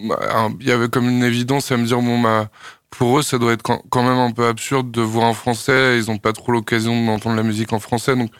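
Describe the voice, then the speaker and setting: A young man from France, a singer-songwriter, answering radio interview questions in a thoughtful, hesitant way with many pauses and fillers. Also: medium pitch, flat delivery, clean tone, no room sound, studio quality.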